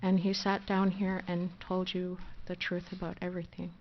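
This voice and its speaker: A soft-spoken woman giving a nervous, halting closing statement in a courtroom, speaking through a courtroom microphone. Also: fairly low pitch, completely flat delivery, highs cut off, a little hiss or hum. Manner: hesitant, halting with fillers and restarts. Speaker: defendant giving closing argument.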